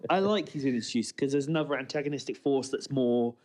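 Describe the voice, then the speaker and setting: A man's voice: medium pitch, fast, a little mellow. A man co-hosting a podcast, talking through his observations in a casual, conversational, explanatory way. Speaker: co-host of a TV commentary podcast.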